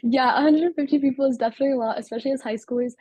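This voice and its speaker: Fast-talking teenage girl, husky and dry. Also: sits high, darkish tone, dry recording. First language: Turkish. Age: teens. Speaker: student company CEO. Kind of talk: interview answers.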